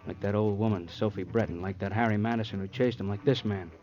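An American actor playing a blunt, eager police sergeant sidekick in a vintage radio crime drama, punchy and repetitive, with folksy cop talk. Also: male voice, fairly low pitch, steady tone, quick pace, a little mellow, lightly acted. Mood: grim. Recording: muffled old radio recording with music.

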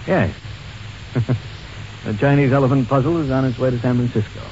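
An actor playing a calculating, self-assured art dealer turned diamond smuggler in a 1940s radio drama. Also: male voice, medium pitch, moderate pace, a little mellow, lightly acted. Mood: smugly confident. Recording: muffled, faintly noisy old radio recording.

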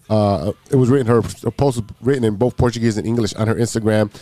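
A man's voice: on the low side, mellow, dry recording. A man hosting a casual sports and news podcast, sounding nasal and stuffy from allergies, rambling and joking with restarts. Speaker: podcast host.

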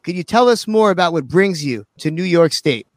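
Male radio host, high voice, dry close sound, quick teasing banter. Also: expressive, no room sound. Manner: joking, teasing. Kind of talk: live radio interview.

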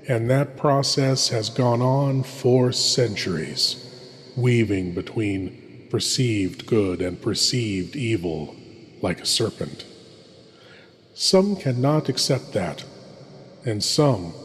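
A man hosting a horror show, giving a theatrical, solemn and ominous scripted introduction. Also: medium pitch. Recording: heavily reverberant studio voice-over.

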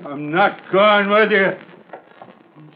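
An actor playing a belligerent, drunken ship's chief mate, blunt and defiant, in an old-time radio drama. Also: male voice, high voice, takes it slowly. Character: belligerent, surly red-haired drunken sailor, chief mate. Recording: tinny, faintly noisy vintage radio recording.

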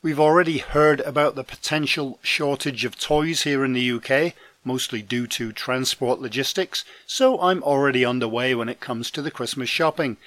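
A man hosting a podcast news roundup, his voice deepened by a head cold, reading from a script with dry, self-deprecating asides. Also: a bit bright, no echo. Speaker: podcast host and trade magazine editor. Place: home in Scotland.

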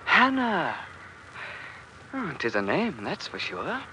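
An eager, guileless young country squire out courting. His bright voice leaps up and down with excitable, lilting, old-fashioned phrasing, as in a vintage radio play with faint noise behind it. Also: fairly high pitch, slow, heavily acted, low-quality recording. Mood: smitten, playful. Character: ardent, guileless young country gentleman wooing maids. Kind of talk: old-time radio drama acting.

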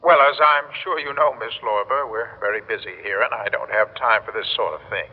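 An actor playing an officious telephone repair man in a radio drama, flustered and hesitant, polite but impatient, with a husky voice. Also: lowish voice, brisk, close to an everyday voice. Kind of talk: 1960s radio drama acting. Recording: muffled reverberant old radio recording.

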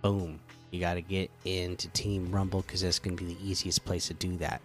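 A man hosting a casual gaming podcast, chatty and relaxed with lots of fillers, slightly hoarse from a cold. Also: deep voice, moderate pace, faint music behind. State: catching a cold, losing voice. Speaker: podcast host.

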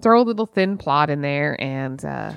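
A woman's voice: low voice, expressive, moderate pace, gravelly, smooth, no echo, highs cut off. An American woman co-hosting a podcast, giving her opinions on books in informal, mildly sweary chat. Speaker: podcast co-host. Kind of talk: casual book club chat.

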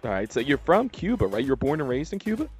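Laid-back male radio host, mid-pitched. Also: very animated, quick pace, darkish tone, faint music behind. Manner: laid-back, laughs often. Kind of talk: casual radio interview.

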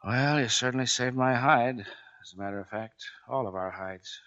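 An actor playing a gruff, commanding, plain-spoken Navy chief who tells his story, in 1940s radio drama style. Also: medium pitch, moderate pace, a bit bright, highs cut off, close to an everyday voice. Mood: subdued. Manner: barking orders, plain-spoken narration.

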